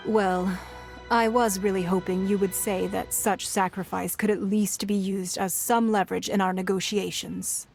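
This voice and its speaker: An actress playing a poised, shrewd businesswoman who leads a fantasy city, speaking in a measured, formal, diplomatic way in a game. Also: medium pitch, little pitch movement, vocal fry, darkish tone, natural voice. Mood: composed, diplomatic. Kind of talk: scripted fantasy game dialogue. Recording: music under the voice.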